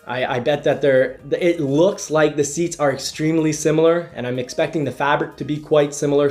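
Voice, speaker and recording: A man's voice: fairly high pitch, a little mellow. A man with a congested, stuffy nose giving a casual, explanatory car review from inside the vehicle, often restarting his sentences. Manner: explanatory, restarts with "you know". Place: inside a car, partly driving.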